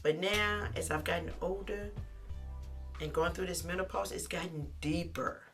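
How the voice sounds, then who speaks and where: Chatty middle-aged woman with a deep, bright voice. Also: normal speed, soft background music. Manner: chatty, animated, calls the viewer honey. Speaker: lifestyle vlogger. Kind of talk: casual talk to camera.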